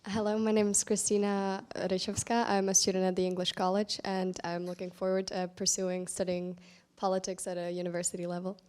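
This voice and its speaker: A woman's voice: medium pitch, level tone, brisk, a little mellow, clear, resonant tone. A young woman student introducing herself at a seminar, plain and polite.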